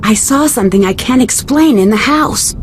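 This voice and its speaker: A woman playing a level-headed, inquisitive young detective in a video game, speaking in a polite, measured, questioning way. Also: a little high, lively intonation, slight background noise, close to an everyday voice. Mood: uneasy. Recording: music behind.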